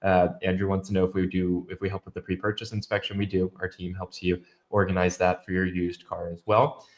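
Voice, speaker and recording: A young man with a somewhat low voice that sounds stuffed up and nasal. He co-hosts a casual live stream in an upbeat way and reads viewer comments out to his co-host. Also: level tone, brisk. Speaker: podcast co-host.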